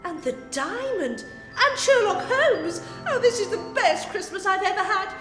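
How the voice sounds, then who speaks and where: A very high-voiced, theatrical queen. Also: expressive, measured pace, fairly bright tone, full character voice. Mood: gushing delight. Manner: theatrical, petulant and gushing. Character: gushing, demanding young queen, doting wife. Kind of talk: comic radio play acting. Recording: echoey hall, faint music behind.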